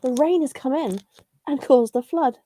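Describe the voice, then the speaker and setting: A woman's voice: high-pitched, normal speed, warm tone, no room sound. A woman voicing small, polite, homeless worms in a children's storybook, with sing-song pleading. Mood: politely pleading.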